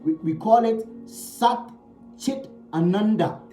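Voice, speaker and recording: A man's voice: high voice, slow pace, dark tone, echoey room, faint music behind. A man giving a spiritual teaching talk. He speaks emphatically, repeating key phrases and asking rhetorical questions.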